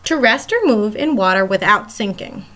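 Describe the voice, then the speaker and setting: A woman's voice: mid-range voice, animated, moderate pace, bright, forceful tone, a little hiss or hum. A woman teacher reading a nonfiction children's book aloud, talking straight to young listeners and explaining things simply. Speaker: elementary school teacher.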